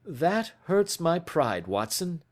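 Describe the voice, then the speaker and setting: A man voicing a keen, proud, intense gentleman detective in an audiobook, making emphatic, deliberate declarations. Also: fairly high pitch, big pitch swings, pitched above the performer's own voice. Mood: wounded, resolute. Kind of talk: audiobook character voice.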